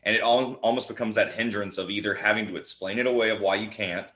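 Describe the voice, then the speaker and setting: A man's voice: lowish voice, steady tone, quick pace, fairly bright tone. A man chatting casually as a podcast guest, talking in an informal, emphatic way like an eager fan. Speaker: podcast guest, horror writer and performer. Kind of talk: casual chat.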